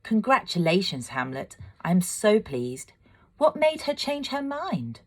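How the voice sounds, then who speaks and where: A woman voicing an eager young girl in a storybook, animated and earnest. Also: medium pitch, slow pace, pitched above the performer's own voice. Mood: delighted. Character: bright, eager young girl, daughter of a firework maker.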